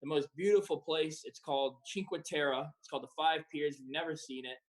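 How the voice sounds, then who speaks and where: Relaxed young soccer player, rambling. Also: male voice, a little high, brisk, rough recording. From Croatia and the United States. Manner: relaxed, rambling with many fillers. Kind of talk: casual interview answers.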